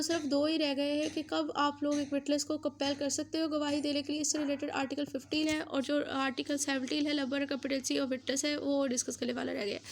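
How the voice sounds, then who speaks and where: Woman, Pakistani accent, high voice, flat intonation, law lecturer, explanatory, repetitive, English legal terms mixed in. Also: a little hiss or hum.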